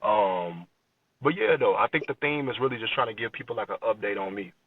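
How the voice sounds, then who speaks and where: A man's voice: on the low side, expressive, brisk, breathy edge. Distant, very muffled young American man chatting. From Chicago, USA. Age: late 20s. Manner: informal, slangy, frequent check-in tags. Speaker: rap artist, interview guest. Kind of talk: casual podcast interview chat. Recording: dull lo-fi sound, distant from mic.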